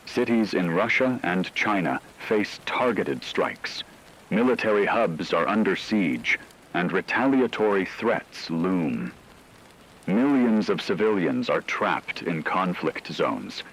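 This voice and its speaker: A man's voice: deep voice, fairly bright tone, husky, heavily acted, rough recording. A man playing a solemn radio anchor, reading a portentous scripted dramatic news report about a catastrophe. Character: grave male radio anchor reporting nuclear war.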